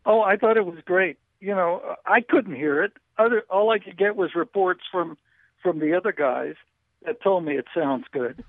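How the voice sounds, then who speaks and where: A man calling in, chatting casually about old radio gear, halting and repeating words, with a voice he calls squeaky. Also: dry recording. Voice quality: self-described squeaky voice. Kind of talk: casual ham radio chat. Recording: tinny phone line.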